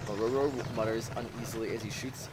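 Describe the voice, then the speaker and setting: A man's voice: fairly low pitch, fairly even intonation, brisk, background noise. A man streamer casually reading a visual novel aloud, slipping in jokey asides. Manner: jokey asides, reads punctuation out as sound words. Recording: music behind the voice. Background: game music.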